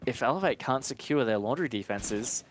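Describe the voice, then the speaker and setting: Mid-pitched male esports analyst, faint noise. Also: animated, normal speed. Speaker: esports commentator.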